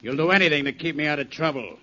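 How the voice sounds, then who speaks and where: A man's voice: fairly high pitch, quick pace, sharp tone. An actor playing a surly, lazy young man with a sarcastic, insolent manner in a 1940s radio drama. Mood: sneering defiance. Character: surly lazy young ne'er-do-well son, sneering and insolent. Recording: muffled, noisy 1940s radio recording.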